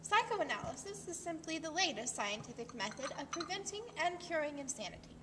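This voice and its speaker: A superior, zealous wife played by a woman lectures in a high voice, insistent and condescending. It is amateur stage acting, picked up from far off in a reverberant hall with clear noise. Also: big pitch swings, a little fast. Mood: earnest lecturing. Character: earnest, superior wife zealous about psychoanalysis. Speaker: amateur actor. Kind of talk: amateur stage-play rehearsal. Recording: distant mic, echoey hall, clearly noisy.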